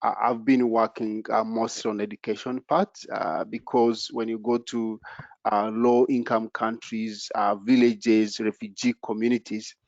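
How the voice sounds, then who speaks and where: A man with a low, even voice that barely rises or falls, speaking over a dull, muffled video call. The sound is dry and close, with no room around it. He talks in halting bursts, leaning on "uh" and "you know" between phrases. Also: no echo. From Democratic Republic of the Congo, raised in Uganda. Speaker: panelist. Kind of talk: personal story on an online panel.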